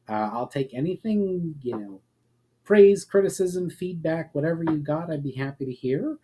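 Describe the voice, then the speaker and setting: A man narrating a fantasy story as an expressive, dramatic reader. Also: fairly high pitch, breathy edge. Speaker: narrator and author.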